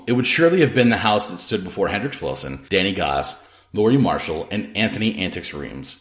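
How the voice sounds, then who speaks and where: A man reading his own novel aloud as scripted audiobook narration, with dramatic, emphatic storytelling. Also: lowish voice. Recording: roomy, echoing sound.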